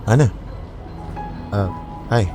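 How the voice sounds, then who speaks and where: A man's voice: slow, music in the background, natural voice. A man playing a young office worker in naturalistic, conversational audio drama dialogue. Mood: awkward, surprised. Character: casual young office worker chatting on his commute.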